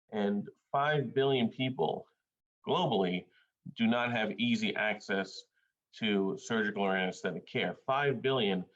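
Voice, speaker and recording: A man originally from Bangladesh, a surgeon giving an academic panel presentation in a measured, hesitant way, with many filler sounds. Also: on the low side, fairly bright tone, lo-fi sound.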